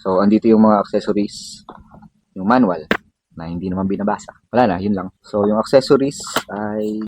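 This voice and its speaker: A man's voice: lowish voice, moderate pace, background noise. A man with a Filipino accent giving a casual, informal unboxing demo as a vlogger, mixing in English slang.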